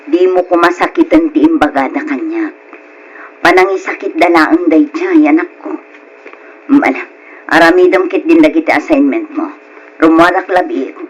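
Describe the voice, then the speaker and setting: A performer voicing a caring, gently scolding mother with an Ilocano accent in a radio drama, a woman. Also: deep voice, normal speed, long pauses, slightly rough, lo-fi sound. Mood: tender, pleading. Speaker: drama voice actor.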